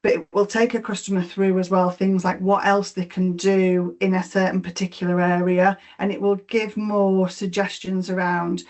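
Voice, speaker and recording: A woman's voice: on the low side, flat delivery, moderate pace, few pauses, a little mellow. A middle-aged woman with a Yorkshire accent, presenting a webinar on mortgage rewards, explaining each slide with frequent fillers and repeated words. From Yorkshire, England.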